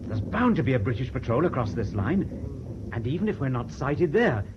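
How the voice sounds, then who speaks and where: A level-headed seaman prisoner, acted by a man in a radio play, steady and cautioning, at a middle pitch. The sound is muffled, with loud noise behind him. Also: fairly quick, lightly acted. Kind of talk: British radio drama acting.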